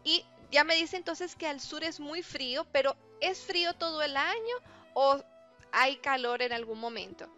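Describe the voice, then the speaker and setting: Woman interviewer, high bright voice, kinda dull lo-fi mic, faint music. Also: normal speed. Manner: frequent "ujú" back-channels. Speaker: interviewer, language student. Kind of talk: interview questions. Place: lives in Brazil. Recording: slightly dull lo-fi sound.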